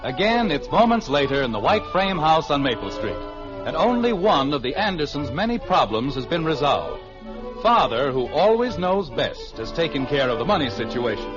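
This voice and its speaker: High, lively 1950s radio announcer man. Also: normal speed, over music. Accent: American. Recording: muffled vintage radio broadcast recording.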